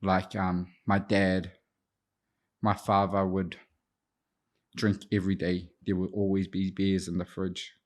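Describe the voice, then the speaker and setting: A man's voice: deep voice, level tone, takes it slowly, pauses often. A man in his thirties with a New Zealand accent who grew up speaking Te Reo Māori, reflectively telling his personal story as an interview guest, with some hesitation. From Auckland, New Zealand. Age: 30s. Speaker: podcast guest, addiction counsellor.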